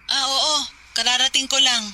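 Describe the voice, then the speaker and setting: A Filipino woman playing a calm, skeptical working wife in casual, conversational radio drama dialogue. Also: sits high, moderate pace. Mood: apologetic, casual. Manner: casual, reassuring, mildly dismissive. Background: music.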